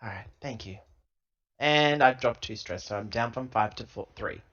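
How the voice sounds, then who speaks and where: Male tabletop player, mid-range voice, conversational role-play, muffled low-fidelity recording. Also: normal speed, fairly bright tone. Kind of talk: casual game chat, in and out of character. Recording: dull lo-fi laptop audio.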